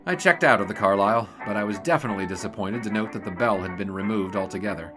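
An actor playing a plucky, wry and wordy newspaper sportswriter from the 1920s in a radio drama. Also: male voice, on the low side, fast talker, over loud music, natural voice. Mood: reflective. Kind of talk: radio drama acting with first-person narration.

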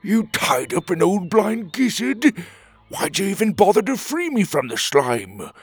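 A man voicing a grumpy, proud old blind lizard creature who snarls and huffs, higher than his own voice, in a storybook reading. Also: very animated, measured pace, husky, no echo, faint music behind, heavily acted. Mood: indignant.

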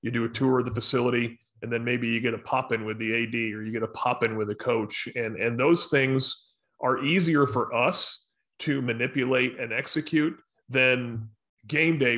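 American man, mid-pitched, instructive business discussion, measured and emphatic delivery. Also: steady tone, fairly bright tone. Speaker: sports sponsorship professional leading a discussion. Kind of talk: explanatory professional discussion.

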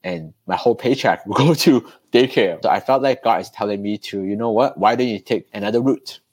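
A man's voice: lowish voice, a little fast, husky, no echo. A man, chatting loosely as a podcast guest. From Hong Kong, raised in Canada. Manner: relaxed, loose, with fillers and restarts. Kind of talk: conversational storytelling.

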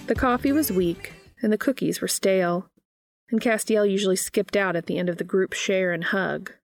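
A woman's voice: medium pitch, normal speed, faint music behind. A woman hosting a podcast and reading fan fiction aloud as scripted, audiobook-style narration. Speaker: podcast host and reader.

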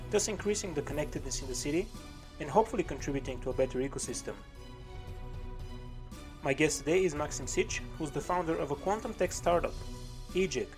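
A man hosting a podcast, reading a formal intro and asking interview questions in a measured way. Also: fairly high pitch. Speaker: podcast host.

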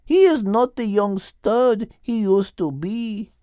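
Female cow: Spanish accent, high lilting voice, patient wifely manner, animal audiobook. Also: expressive, slow, mellow, smooth, no echo, studio quality. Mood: patient, confiding.

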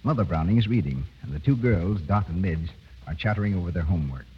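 Very husky male radio announcer. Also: deep voice, animated, fast. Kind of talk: 1930s radio announcing, scripted narration. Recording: dull vintage 1930s radio recording.

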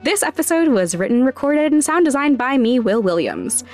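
A woman's voice: high voice, very animated, moderate pace, a little mellow, faint music behind. A woman hosting a podcast, giving scripted explanations with wry, self-deprecating asides. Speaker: podcast host and sound designer.

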